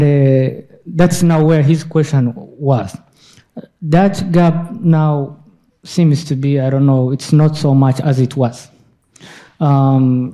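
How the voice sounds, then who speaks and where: A man from Somalia in the audience, speaking haltingly with many fillers and restarts during a lecture's question time. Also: mid-range voice, warm tone. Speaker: audience member asking a question. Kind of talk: lecture Q&A comment.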